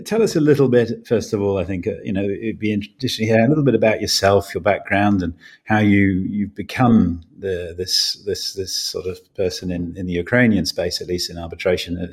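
A man's voice: low-pitched, husky. A man hosting a law podcast, interviewing a guest with a hesitant, restarting way of asking questions. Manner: hesitant, many restarts and fillers. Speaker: podcast host, law partner.

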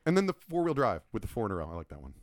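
A man's voice: fairly low pitch, huge pitch swings, racing, dry recording, clean studio sound. A man co-hosting a casual podcast chat, rambling and self-mocking as he tells stories. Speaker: podcast co-host and logo designer.

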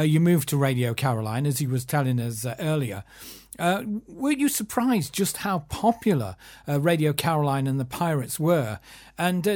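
A man with a slightly higher-than-usual voice puts casual questions to his guest. He phrases them loosely and informally, with a few hesitations along the way. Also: darkish tone. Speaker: interviewer.

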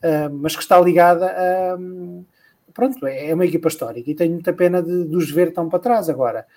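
Man, rambling podcast co-host, a bit higher voice. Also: fast talker, a little mellow. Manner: rambling, thinks aloud with many repeats. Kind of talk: casual podcast chat about motor racing.

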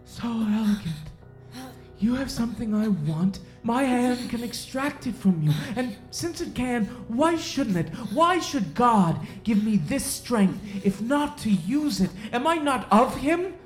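A woman playing a self-righteous, coldly predatory male Puritan sheriff in an audio drama, deliberate and brooding, with long pauses. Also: fairly high pitch, lively intonation. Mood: fervent, menacing. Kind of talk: audio drama acting, slow dramatic monologue. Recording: roomy echo under music.

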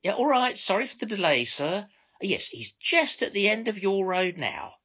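A man's voice: sits high, very animated, moderate pace, pushed and bright, husky, highs cut off, pitched above the performer's own voice, heavily acted. A man voicing a brash, bossy female minicab controller in a story, with insincere courtesy. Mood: falsely polite. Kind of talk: storybook character dialogue.

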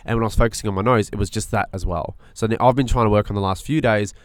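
A man's voice: on the low side, rapid-fire, dry recording, studio quality. Nasal-voiced man in earnest podcast chat. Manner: earnest, searching, restarts often. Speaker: podcast co-host. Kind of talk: casual reflective chat.

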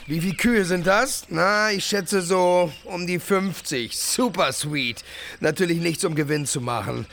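A man's voice: sits high, a little slow, gravelly, fairly bright tone, slight background noise, full character voice. A man playing a cocky, flashy rival in an audio drama, mockingly chummy and dropping slangy English phrases. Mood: smug, mocking.

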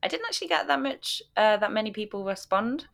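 A woman's voice: mid-range voice, brisk, creaky. A young woman from Coventry, a DJ guest chatting casually on a podcast with lots of ums and easy laughs. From Coventry, England. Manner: relaxed, filler-heavy, laughs easily.

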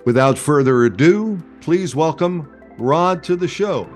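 A man's voice: on the high side, expressive, a little slow, faint music behind. An older man hosting a podcast, reading a scripted intro and then chatting loosely with a guest, with some hesitations. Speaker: podcast host.

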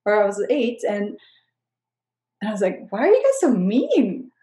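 A woman from Switzerland and the Netherlands, a guest coach, who speaks in a reflective, soft-spoken way during a casual interview. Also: medium pitch, huge pitch swings, moderate pace. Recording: roomy echo.

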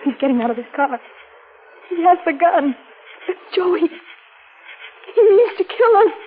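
High voiced young bride in old radio thriller, melodramatic, tinny noisy recording. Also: female voice, sing-song, slow, heavily acted. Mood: frightened. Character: young newlywed wife, romantic and easily frightened. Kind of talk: 1940s radio drama acting.

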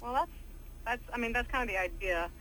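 A woman singer chats as a guest on a radio show in a high, very bright voice. She sounds modest and easygoing, often trailing into soft fillers and light laughs. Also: fairly quick, slight background noise, low-quality recording. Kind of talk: casual radio interview.

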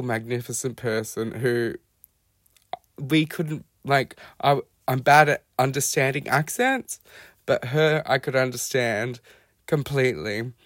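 An Australian man tells his own life story in an unhurried, thoughtful monologue. He pauses and gropes for the right words as he goes. His voice sounds close and dry, with no room echo. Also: medium pitch. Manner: reflective and halting, searching for words. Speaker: podcast guest sharing his life story.

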